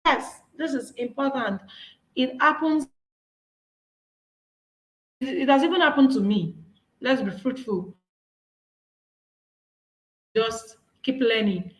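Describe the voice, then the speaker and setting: A woman's voice: mid-range voice, a little slow, pauses often, roomy sound, low-quality recording. A woman with a Nigerian accent, whose first language is Yoruba, giving an earnest, encouraging workshop talk with slides, often restarting her phrases. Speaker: speaker.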